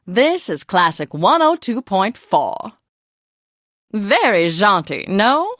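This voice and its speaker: A woman's voice: medium pitch, a bit bright, no echo, clean studio sound. An actress playing a refined, gushing woman who hosts classical radio with prim, old-fashioned theatrical diction. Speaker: radio host. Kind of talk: scripted classical radio DJ patter.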